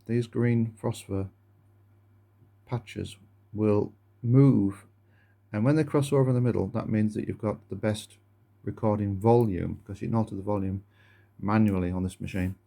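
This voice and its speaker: A man giving a casual, step-by-step hands-on demonstration in a halting, explanatory way. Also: lowish voice, gravelly, warm tone. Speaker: presenter of a gadget demonstration video. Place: indoors at a wooden table.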